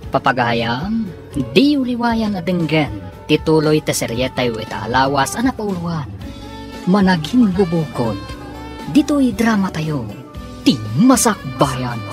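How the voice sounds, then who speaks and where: A low female voice with an Ilocano accent delivers dramatic radio serial narration. Her intonation swings widely, and music plays clearly behind her.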